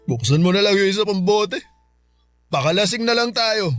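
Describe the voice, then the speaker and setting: Troubled middle-aged Filipino uncle, high-pitched and close-dry, despairing and agitated, for radio drama. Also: male voice, animated, moderate pace, fairly bright tone, no echo, faint music behind. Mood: bitter despair.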